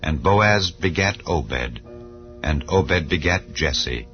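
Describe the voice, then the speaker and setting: A man giving a solemn, measured reading of old-style scripture as narration. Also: a bass voice, lively intonation. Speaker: Bible reader. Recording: slightly dull with soft background music. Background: soft background music.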